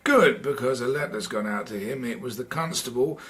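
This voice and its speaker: An actor playing a polite, persistent police sergeant in a period radio drama, questioning formally and deferentially with halting care. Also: male voice, medium pitch, a bit bright, lightly acted. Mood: probing. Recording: clear background noise and ambience.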